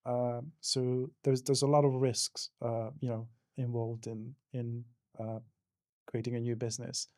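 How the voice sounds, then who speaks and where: A male interview guest from Hungary speaks with a Hungarian accent in a soft, mellow tone. His explanations are casual and a little hesitant. The sound is dry, with no room around it. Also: medium pitch, flat delivery, unhurried, dry recording. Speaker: interview guest, digital marketing professional.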